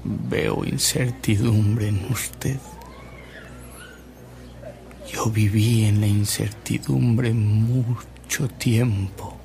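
An actor playing a devout, earnest older man in a Mexican radio drama, speaking solemnly and deliberately with dramatic pauses. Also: lowish voice, fairly even intonation, creaky, noisy background, full character voice. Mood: solemn, reverent. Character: frail, devout older man, a converted skeptic, reverent and earnest.

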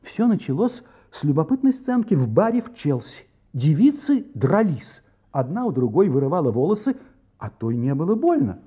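An earnest man investigating a crime in an audio drama. His voice is a little high and somewhat husky as he carefully lays out his reasoning. The sound is slightly dull. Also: big pitch swings, normal speed. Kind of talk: audio play dialogue and narration. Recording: slightly muffled recording.

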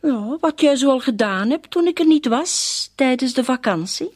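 A woman's voice: sits high, animated, normal speed, darkish tone, dry recording, clean studio sound. A woman playing a suspicious, needling wife in a radio drama, with a pointed, questioning way of talking.

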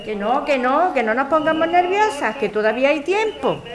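A woman playing a practical, brisk activist organizer with a Spanish accent in an audio drama. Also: high-pitched, lively intonation, a crowd in the background. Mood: concerned. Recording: clearly noisy ambience with clicks.

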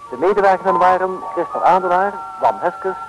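A man's voice: high voice, animated, brisk, low-quality recording. A man reading closing credits in a formal vintage radio announcer style. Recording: old radio recording, music behind.

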